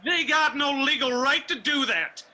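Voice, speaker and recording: A man's voice: extremely high pitch, normal speed, bright, forceful tone, slight background noise, heavily acted. An actor playing a stubborn, combative mountain man in a radio drama.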